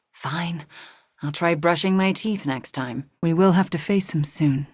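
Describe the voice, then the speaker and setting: A brooding young sorceress hero from a video game. Her voice is fairly low and husky with a soft, breathy edge, recorded very dry. She speaks in a measured, earnest and intimate way, as someone who carries guilt. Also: no room sound. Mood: earnest, confiding. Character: brooding, remorseful young woman sorceress superhero. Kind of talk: dramatic video game character dialogue.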